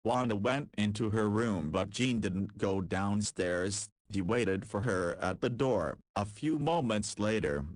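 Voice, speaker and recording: Male narrator, low voice, measured scripted reading, dry close mic. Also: no echo.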